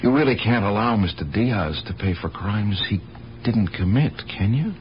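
A man acts a regretful police lieutenant in a radio play. His lowish voice moves expressively as he probes with sympathy and hesitation. The recording is dull and slightly hissy. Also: expressive, natural voice. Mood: troubled, probing. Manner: measured, hesitant, sympathetic questioning. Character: calm, regretful, probing male police lieutenant. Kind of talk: radio drama acting. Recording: muffled, hissy old radio broadcast.

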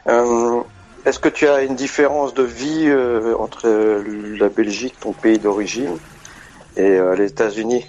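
A man's voice: medium pitch, steady tone, measured pace, darkish tone, dull audio. Hesitant man in his forties calling in. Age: 40s. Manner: hesitant, searching for words. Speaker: radio caller. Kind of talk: call-in radio chat.

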